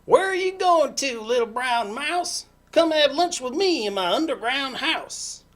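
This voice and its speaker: Woman putting on a high, sly storybook fox. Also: lively intonation, a little slow. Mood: luring. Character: sly hungry fox, falsely friendly, cowardly. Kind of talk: animated picture-book character reading.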